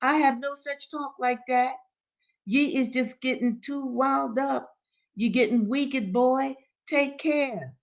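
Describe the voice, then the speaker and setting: A woman voicing an elderly pious man in a dramatic novel reading, fretful and scolding, with halting, repetitive folksy speech. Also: high voice, steady tone, pitched above the performer's own voice. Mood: anxious scolding. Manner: halting, repeating, folksy dialect.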